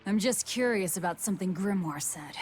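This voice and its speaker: A woman's voice: on the low side, expressive, a little fast, a little mellow, close to an everyday voice. An actress playing a cold, blunt, hardened young female fighter in a video game, speaking curtly and sternly. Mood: cool. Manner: curt, stern. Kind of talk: dramatic video game voice acting. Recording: music behind the voice.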